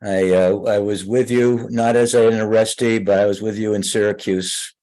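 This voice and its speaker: A man with a fairly low voice speaks casually from the audience of an online meeting. His delivery is halting and polite, with pauses as he frames what he wants to ask. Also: level tone, dark tone, rough recording. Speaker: audience questioner.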